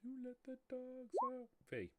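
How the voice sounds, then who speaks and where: A man's voice: very high-pitched, sing-song, normal speed, darkish tone, no echo. A man running a tabletop roleplaying game, casually narrating and talking through rules as game master.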